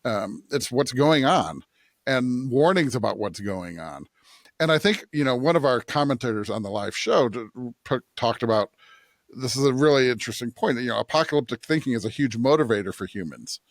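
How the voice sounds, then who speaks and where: An American man co-hosting a conversational religious podcast, thinking aloud in a halting way, often rephrasing himself and checking in with his listener. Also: medium pitch, expressive, dry recording, studio quality. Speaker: podcast co-host, preacher.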